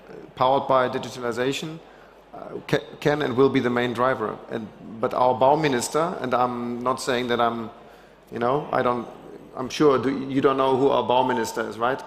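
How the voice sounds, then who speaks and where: A man's voice: mid-range voice, fairly even intonation, moderate pace, a little hiss or hum. A middle-aged man speaking on a conference panel, with many fillers and restarts. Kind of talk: panel discussion. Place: large conference stage.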